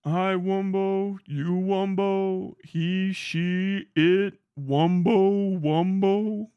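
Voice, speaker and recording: A man's voice: sits high, steady tone, extremely slow, clear, resonant tone, no echo, highs cut off. A young man casually chatting on a livestream, reading chat aloud, swearing freely and laughing often as he rambles.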